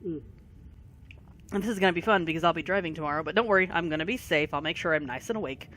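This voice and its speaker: A tired gaming video creator, short on sleep and fighting allergies, giving a casual rambling commentary full of pauses. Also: mid-range voice, bright, forceful tone, a little hiss or hum. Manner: rambling, many pauses and fillers. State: voice affected by allergies.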